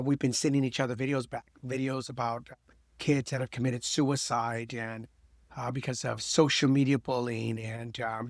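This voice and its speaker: A man's voice: medium pitch, creaky, dry recording, clean studio sound. A man hosting a podcast with his daughter, chatting loosely and joking with many fillers. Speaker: podcast host. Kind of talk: casual chat.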